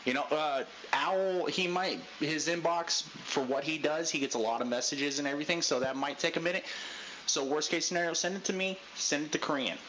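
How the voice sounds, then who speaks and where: A man leading a group debrief in a casual pep-talk style, talking loudly with a lot of inflection. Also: a little fast, noisy background. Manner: loud, high voice inflection. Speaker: unit leader giving a debrief.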